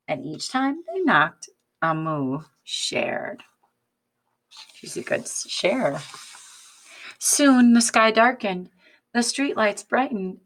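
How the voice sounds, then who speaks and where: A woman reading a picture book aloud like a teacher, expressive and instructive, addressing young children with questions. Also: medium pitch, gravelly.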